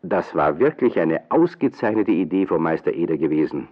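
Narrator for children's radio play: low-voiced man, scripted storytelling, narrow vintage sound. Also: very animated, normal speed. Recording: thin, band-limited old radio play.

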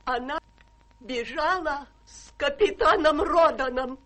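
A woman's voice: sits high, slow pace, fairly bright tone. An actress playing an anxious, fussing genteel companion to a rich lady, melodramatic and pleading in radio-drama style. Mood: anxious, cautious. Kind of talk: stage-trained radio drama acting.

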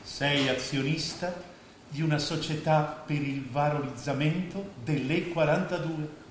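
A man's voice: fairly high pitch, fairly even intonation, a little slow, lightly acted. An actor playing a scheming middle-aged stepfather and financier, sarcastic and grandiose, in a theatrical oratorical delivery. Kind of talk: staged radio drama acting in Italian. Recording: echoey stage recording with faint noise.

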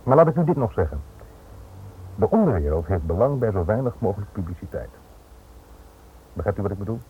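Through a thin, noisy old radio recording comes a Dutch police inspector with a low voice. He speaks formally and measuredly, polite on the surface while insistently warning. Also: sing-song, brisk, phone-quality sound. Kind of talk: radio play acting.

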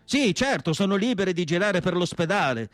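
A male actor playing a tormented asylum psychiatrist in a solemn, deliberate radio monologue with dramatic pauses. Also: sits high, lively intonation, dry recording. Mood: bitter, musing. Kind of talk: dramatic literary monologue, theatrical radio acting.

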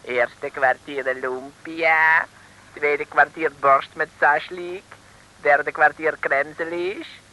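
An actor playing a proud, excitable islander with a mock Chinese accent and pidgin phrasing in a 1950s radio serial. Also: male voice, fairly high pitch, takes it slowly, rough recording. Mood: proud, emphatic. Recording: old radio drama recording.

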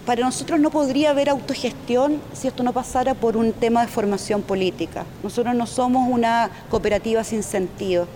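A woman with a Chilean accent giving an informal public presentation, explaining in a halting way as she searches for words. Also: a little high, warm tone, slight background noise. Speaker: domestic workers' union representative, speaker.

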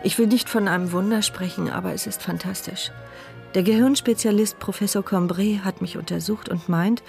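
Observant young woman played by an actress, inner-monologue narrator for radio drama, mid-pitched, measured, articulate. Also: creaky, a little mellow, lightly acted. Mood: reflective. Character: paralysed young woman, observant, inwardly narrating her story.

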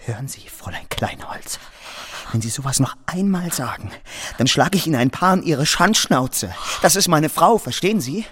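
An actor playing an earnest, easily flustered young clerk in a radio drama. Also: male voice, fairly high pitch, expressive, normal speed, a bit bright, slightly rough. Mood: indignant. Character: earnest, anxious young male clerk, devoted husband. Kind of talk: German radio drama acting.

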